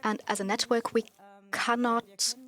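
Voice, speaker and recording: A woman speaking as a digital rights advocate at a conference panel, deliberate and pausing with fillers. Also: fairly high pitch, flat delivery, a bit bright.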